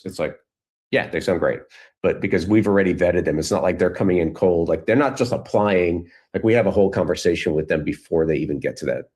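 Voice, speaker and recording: A man's voice: low-pitched, a little mellow. A man answering questions in a casual, informal way, thinking aloud as he goes. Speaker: guest speaker, community founder.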